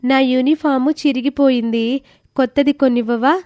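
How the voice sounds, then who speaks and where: A woman voicing a small, trusting young girl in an animated moral story. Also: high-pitched, moderate pace, no room sound, studio quality, natural voice. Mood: innocent, earnest.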